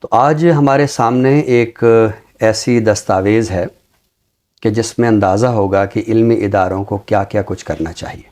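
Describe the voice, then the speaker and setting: A middle-aged man with a Pakistani accent speaks formally and deliberately about literature, repeating phrases for emphasis. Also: on the low side, a little mellow. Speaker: literary show presenter and book reviewer. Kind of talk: measured literary talk with poetry recitation.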